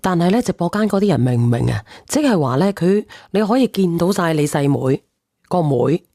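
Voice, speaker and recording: A woman's voice: on the low side, lively intonation, fairly quick, vocal fry, warm tone, dry recording, studio quality. A woman hosting a live call-in stream in casual, bantering, slangy talk. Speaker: live-stream host taking calls.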